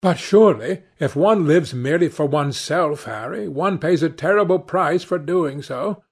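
A man voicing a sincere, moralistic gentleman painter in an audiobook, with an earnest, reproachful manner. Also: on the high side, lively intonation, normal speed, slightly rough, pitched above the performer's own voice. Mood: concerned objection. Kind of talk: audiobook character dialogue.

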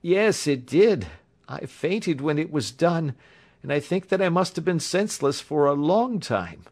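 A man voicing a polite, agitated young engineer in his twenties, breathless and excitable, in an audiobook story. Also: a little high, measured pace, voice pushed up, full character voice. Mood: grimly earnest. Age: mid-twenties. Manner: courteous, excitable, shaky, breathless. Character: pale, agitated young male engineer, wounded, hysterical, polite.

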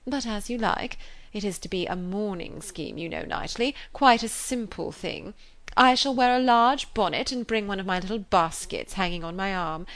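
A woman's voice: on the high side, voice pushed up. A woman voicing a pompous, chattering married society lady who gushes and drags out her emphasis. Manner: gushing, self-important, drawn-out emphasis. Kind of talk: audiobook character reading.

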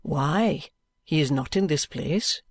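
Woman as an earnest, slow-spoken patient gentleman. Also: low-pitched, lively intonation, slightly rough, dry recording, clean studio sound, full character voice. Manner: gentle, deliberate, coaxing.

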